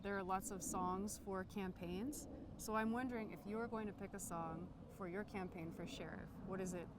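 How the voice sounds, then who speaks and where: A woman's voice: medium pitch, steady tone, normal speed, heavy background noise. A woman interviewer putting questions in a conversational style.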